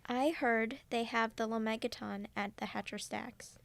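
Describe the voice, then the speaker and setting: A woman's voice: a little high, fairly even intonation, a little slow, gravelly, fairly bright tone. A woman playing an easygoing, supportive student friend in an audio drama, talking in a casual, encouraging way. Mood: casual, upbeat.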